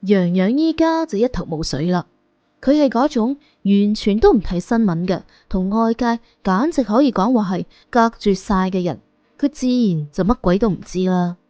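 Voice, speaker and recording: Woman reading an audiobook story, mellow voice, bit lo-fi, roomy. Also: medium pitch, animated, moderate pace. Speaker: audiobook narrator. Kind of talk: scripted audiobook narration in colloquial storytelling.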